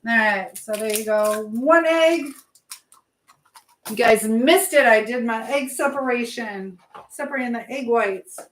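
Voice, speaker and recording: A woman's voice: on the high side, a little slow. Woman chatting casually over noisy background. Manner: chatty and affectionate with viewers. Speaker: live stream host. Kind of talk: casual live chat, reading viewer comments aloud. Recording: clear background noise with clicking sounds.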